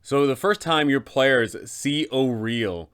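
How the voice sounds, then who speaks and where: A man hosting a scripted explainer for game masters, speaking emphatically in deliberate phrases with rhetorical questions. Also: mid-range voice, fairly bright tone, smooth. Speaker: host, game master advisor. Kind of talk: scripted explainer on running a tabletop campaign.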